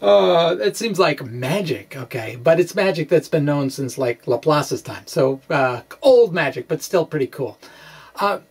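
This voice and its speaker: A middle-aged man giving an informal explanation to camera as a physics blogger, halting and often repeating words. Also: a little high, animated, husky. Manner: halting, hesitant, full of repeated words and tags like "okay?"; chuckles as he talks. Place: small indoor room with bookshelves.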